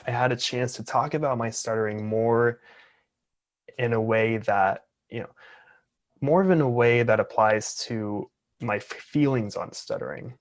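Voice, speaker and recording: A man's voice: mid-range voice, measured pace. A man in his twenties, an interview guest, who talks openly and earnestly while stuttering with repeated sounds and blocks. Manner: open, earnest. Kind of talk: casual interview talk.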